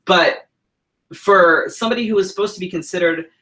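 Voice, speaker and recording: A male co-host with a bright, forceful voice talks bluntly, swearing freely as he makes his case. His delivery is indignant and direct, with plenty of restarts. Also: high-pitched, a little fast. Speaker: co-host, drag king performer. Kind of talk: heated casual chat.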